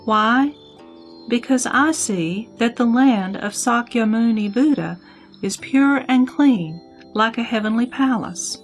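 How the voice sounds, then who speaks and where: Slow, high woman as a serene god. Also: soft background music. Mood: assured. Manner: formal, deliberate, instructive. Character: Brahma, serene, assured male god instructing a disciple. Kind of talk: scripted sutra audio drama.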